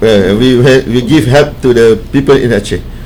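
A man from Malaysia, president of a charity, answering a radio interview in halting English with many fillers. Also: mid-range voice, darkish tone, a little hiss or hum. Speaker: guest, NGO president.

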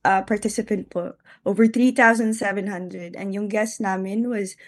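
A woman's voice: medium pitch, fairly even intonation, normal speed. A woman from the Philippines, a freelance photographer, answering politely and enthusiastically in English and Filipino. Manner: polite, laughs often, enthusiastic. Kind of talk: interview, mixing English and Filipino.

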